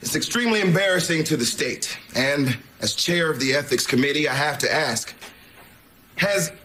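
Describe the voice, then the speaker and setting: A man's voice: on the high side, lively intonation, moderate pace, sharp tone. An actor playing an exasperated male state legislator who chairs an ethics committee, questioning colleagues in a comedy sketch. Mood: incredulous. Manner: incredulous, pointed questioning. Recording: noisy TV sketch audio.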